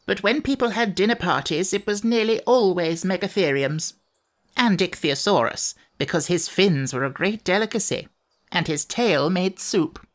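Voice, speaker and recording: A woman's voice: fairly low pitch, expressive, moderate pace, lightly acted. A peevish, ancient sand fairy from a children's storybook, played by a woman. The creature is fussy and sorry for itself, spinning out old memories in a gloomy, grumbling manner. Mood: reminiscing. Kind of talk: children's audiobook character voice.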